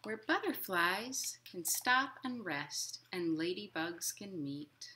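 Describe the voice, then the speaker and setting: A woman in her thirties hosting a storytime for young children at home, expressive and encouraging in simple words. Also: on the low side, slow. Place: living room at home.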